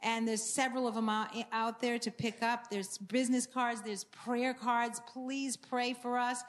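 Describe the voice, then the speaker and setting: Woman from Maine, earnest church presenter, slightly high voice, halting delivery with fillers. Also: level tone. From Maine, USA. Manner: earnest, with many fillers and restarts. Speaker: ministry speaker promoting books. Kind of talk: church announcement talk.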